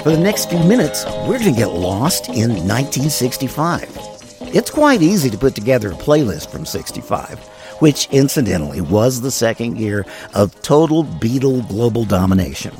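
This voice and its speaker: A man presents a scripted music-history show as its host. His mid-pitched voice moves around animatedly, with a wry, playful and wordy delivery. Music plays faintly behind him. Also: big pitch swings, normal speed, a little mellow. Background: music under the voice.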